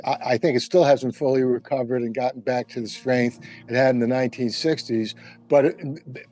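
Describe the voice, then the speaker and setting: A man's voice: mid-range voice, dark tone. A man giving opinions as a guest in a casual roundtable discussion, with emphatic asides.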